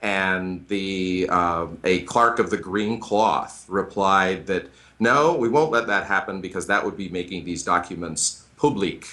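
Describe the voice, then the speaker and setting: A man's voice: low voice, measured pace, few pauses, bright, forceful tone. An American man, a middle-aged history professor, explaining at length in a radio interview with frequent hesitant fillers. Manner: thoughtful, hesitant, many fillers.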